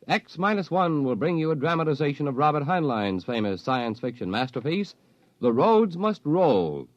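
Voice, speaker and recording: A man's voice: mid-range voice, no room sound. A man reading scripted promotional narration in an emphatic, dramatic 1950s radio style. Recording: dull lo-fi old radio recording.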